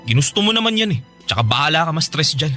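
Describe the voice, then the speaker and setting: A man's voice: a little high, sing-song, fast, bright, forceful tone. A man playing a neglected, sulky boyfriend in a radio drama, reproachful and argumentative. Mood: hurt, angry. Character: frustrated, neglected young boyfriend, pleading and sulky. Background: faint music.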